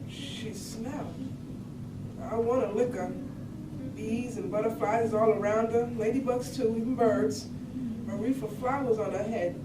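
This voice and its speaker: A woman's voice: on the high side, close to an everyday voice. A woman playing a bold, sensual young daughter telling a wondrous memory in folk dialect at a staged reading. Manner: plain storytelling in folk dialect. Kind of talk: staged reading of a poetic monologue. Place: indoor room at a staged reading. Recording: echoey, noisy room, distant mic.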